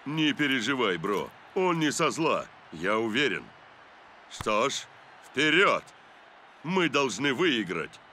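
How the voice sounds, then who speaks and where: A man voicing a calm, supportive football team captain in an animated cartoon, reassuring and brotherly. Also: mid-range voice, slow, a little hiss or hum, full character voice.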